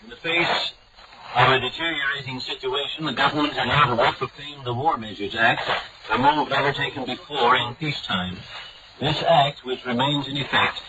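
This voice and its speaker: A man announcing a formal news bulletin in a measured, even read delivery. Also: medium pitch. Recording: muffled hissy old shortwave broadcast.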